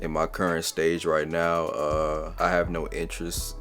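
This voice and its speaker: Low-voiced young man giving casual advice. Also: unhurried, soft background music. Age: 20s. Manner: relaxed, slangy, repeats words as he thinks. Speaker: vlogger. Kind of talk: casual advice talk to camera.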